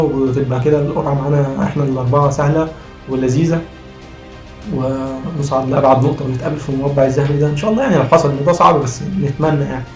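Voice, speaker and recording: A man's voice: mid-range voice, a little mellow. An Egyptian man with a worn-out, strained voice gives impassioned, argumentative football commentary as a vlogger, repeating phrases for emphasis. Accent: Egyptian Arabic. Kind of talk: impassioned post-match fan commentary. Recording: roomy echo, faint music behind.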